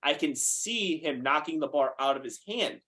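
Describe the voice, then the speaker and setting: Young man in his twenties, fast, animated podcast guest with a somewhat high voice. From United States. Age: 20s. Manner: fast, animated, swears freely. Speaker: guest powerlifter. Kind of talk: casual podcast chat.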